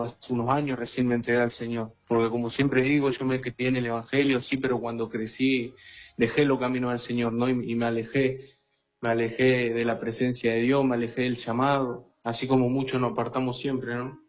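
A man's voice: medium pitch, level tone, fairly quick, a little mellow. A man, preaching and testifying earnestly, repeating phrases as he addresses the listener. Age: 20s. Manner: earnest, repetitive, often addressing listener as brother. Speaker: guest lay preacher. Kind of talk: personal testimony and exhortation. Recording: dull lo-fi sound, faint music behind.

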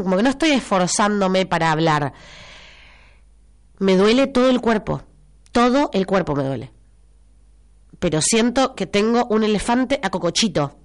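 Congested Argentine woman, chatty radio host. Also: medium pitch. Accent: Argentine Spanish. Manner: chatty and expressive, repeats phrases for emphasis. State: congested, stuffy nose with a cough. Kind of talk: casual morning radio chat reading listener messages. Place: radio studio.

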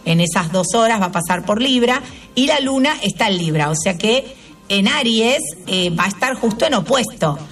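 A woman's voice: medium pitch, moderate pace. Chatty older Argentine woman, a touch distant. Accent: Rioplatense Spanish. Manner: chatty, animated storyteller who laughs often. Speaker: tarot and astrology host. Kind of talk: casual chat.

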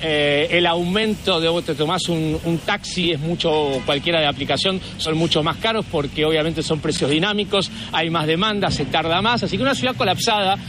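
A man's voice: on the high side, fairly quick, few pauses, fairly bright tone. A male reporter, speaking live from outdoors with lots of hesitant fillers. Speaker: street reporter. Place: outdoors on a city street. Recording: street noise behind. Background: traffic and street noise.

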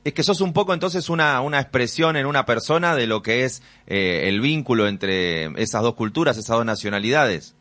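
An interviewer with a Rioplatense accent asks long, searching questions, pausing on fillers as he goes. His voice sits in a middle pitch. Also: lively intonation, fairly bright tone. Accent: Rioplatense Spanish.

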